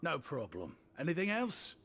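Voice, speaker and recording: Easygoing, lively game shopkeeper. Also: male voice, on the high side, normal speed, slightly rough, faint music behind, heavily acted. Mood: friendly, generous. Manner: courteous, laid-back. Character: easygoing, polite young male shopkeeper in a fantasy game. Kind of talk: video game voice acting.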